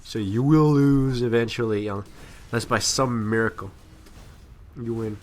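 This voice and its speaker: A man's voice: mid-range voice, unhurried, a little hiss or hum. A man giving casual, amateur video game commentary in a rambling, self-deprecating way.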